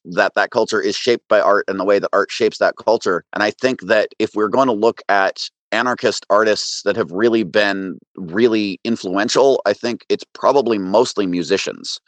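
A man's voice: lowish voice, normal speed, hardly any pauses, a bit bright, no room sound. A man co-hosting a podcast, talking casually and explaining his opinions at length. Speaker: podcast co-host. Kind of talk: casual discussion.

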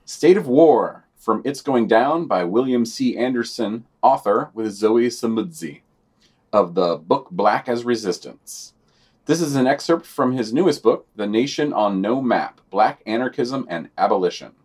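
A man's voice: medium pitch, animated, a little slow, darkish tone, breathy edge. A man co-hosting a podcast, reading out short news summaries with dry, wry comments. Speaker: podcast co-host.

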